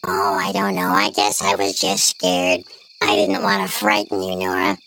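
A timid talking bedside clock from a bedtime story, voiced by a woman. The voice is deep and gravelly with a lot of creak and swoops widely. It speaks softly and kindly. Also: sing-song, moderate pace, no echo, full character voice. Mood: gentle. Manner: soft and hushed.

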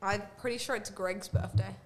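A twenty-year-old woman hosting a casual group chat, teasing gently and prompting others with follow-up questions. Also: mid-range voice, fairly even intonation, normal speed. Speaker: podcast host.

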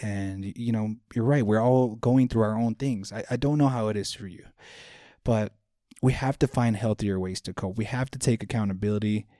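A man's voice: fairly low pitch, normal speed, warm tone, no room sound, studio quality. A man in his thirties hosting a self-help podcast, speaking earnestly and directly to his listeners, often repeating his points for emphasis. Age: 30s. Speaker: podcast host. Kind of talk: motivational self-help talk.

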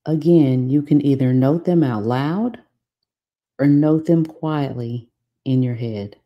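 A woman leading a guided meditation from a script, giving soothing, unhurried instructions with long pauses. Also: a bass voice, muffled recording.

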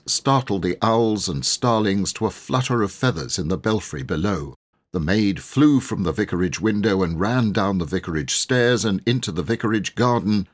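A man reading a storybook aloud as an audiobook narrator, in a measured, dramatic and expressive way. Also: low voice, slightly rough. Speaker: audiobook reader.

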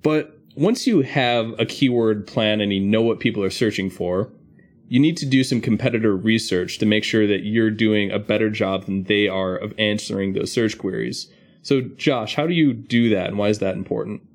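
A man's voice: on the low side, moderate pace. A man hosting a podcast, explaining things in a structured, conversational way. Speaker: podcast host.

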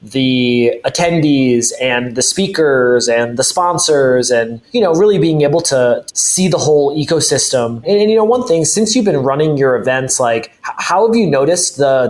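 A man hosting a podcast interview, talking informally and building his questions in a loose, rambling way. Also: medium pitch. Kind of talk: casual conversational interview.

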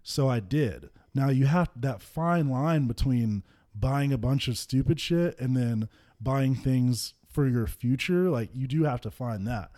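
A man's voice: medium pitch, lively intonation, unhurried, dark tone. A man in his twenties, a football player co-hosting a podcast, chatting casually and telling stories with easy laughter. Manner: laid-back, storytelling, laughs easily. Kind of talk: casual chat.

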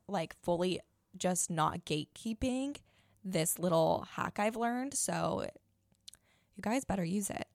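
Raspy gravelly lady in her 20s, low-ish voice, talks blunt and chatty, dry close mic. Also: a little mellow, no room sound. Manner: blunt, chatty, many fillers. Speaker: podcast host. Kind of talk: casual advice chat.

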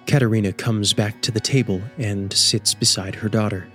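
A man's voice: lowish voice, fairly even intonation, normal speed, bright, forceful tone, faint music behind. A man reading scripted story narration as the narrator of an audio drama.

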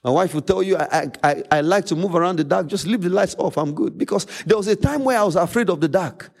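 A man with a Nigerian accent, a native Yoruba speaker, preaching a sermon as a pastor, emphatic, repeating phrases and pausing dramatically. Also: high-pitched, gravelly, slightly rough.

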